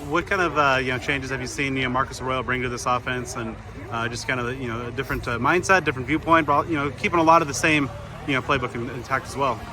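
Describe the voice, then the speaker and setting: A man's voice: mid-range voice, quick pace. A man, a sports reporter, asking long, rambling questions with many fillers at an outdoor interview. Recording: outdoor scrum with crowd chatter.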